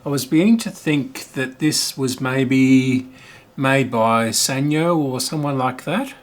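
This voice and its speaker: A man's voice: medium pitch, takes it slowly. A middle-aged man giving casual repair commentary as a hobbyist host, thinking aloud in a hesitant, musing way.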